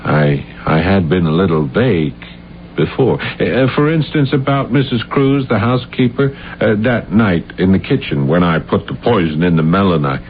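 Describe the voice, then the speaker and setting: An actor playing an unstable, deluded man in an old-time radio drama, halting, stammering and repeating his words. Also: low-pitched, lively intonation. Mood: eager, rambling. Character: unstable, deluded playwright who insists he killed his uncle. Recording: muffled, hissy 1970s radio recording.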